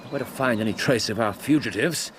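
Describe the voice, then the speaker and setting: A man's voice: mid-range voice, fast, a little hiss or hum, natural voice. An actor playing a brilliant, self-assured English detective in British radio drama style, explaining his reasoning aloud and repeating himself. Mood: analytical, assured. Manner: didactic, thinks aloud, exclaims and repeats himself. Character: brilliant, brisk male detective, keenly absorbed and self-assured.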